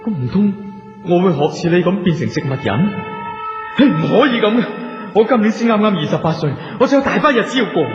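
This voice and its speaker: A man's voice: sits high, lively intonation, quick pace, music in the background. An actor with a Hong Kong Cantonese accent playing a proud, anxious young male tycoon turned wandering soul in a radio drama, emphatic and incredulous. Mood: panicked denial. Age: 20s. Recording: dull echoey audio with long reverb.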